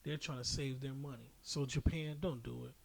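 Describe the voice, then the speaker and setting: A man's voice: medium pitch, steady tone, moderate pace, gravelly, slight background noise. A man giving casual, opinionated podcast commentary as a host, speaking bluntly and emphatically with frequent swearing.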